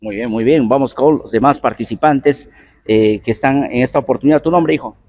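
A man's voice: medium pitch, brisk, a little mellow, no room sound. A man with a Peruvian accent reporting from an outdoor football field, friendly and affectionate as he interviews children. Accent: Peruvian Spanish. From Peru.

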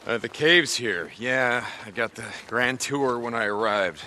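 An actor playing a gruff, guarded biker turned soldier in a video game, gravelly, terse and dryly muttering. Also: male voice, medium pitch, a little slow, sharp tone, slight background noise.

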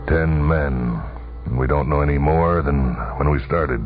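A gruff middle-aged army general played by a male reader in a radio tale, with a very deep voice and blunt, impatient manner. The audio is thin like a phone line, with music underneath. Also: fairly even intonation, unhurried. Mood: cold resignation. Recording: tinny vintage radio broadcast, music behind.

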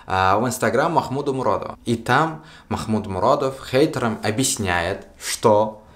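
A man's voice: medium pitch, lively intonation, normal speed, a bit bright. A young man talking earnestly to camera from a small room, addressing his viewers directly. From Russia. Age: young adult. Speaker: video blogger. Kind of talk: casual to-camera commentary.

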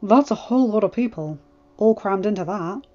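A woman's voice: medium pitch, animated, normal speed, darkish tone, close to an everyday voice. Woman acting a fond, teasing young lord. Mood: earnest. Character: young lord, affectionate, teasing, principled man. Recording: muffled lo-fi recording, music behind.

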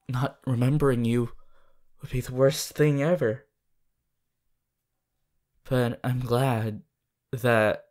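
A sweet, bashful teenage anime hero, played by a woman in an ASMR roleplay. He talks slowly and haltingly in a low-set boyish voice, full of affection and quick to get excitable. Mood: tender, relieved. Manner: halting, affectionate, with small hesitations.

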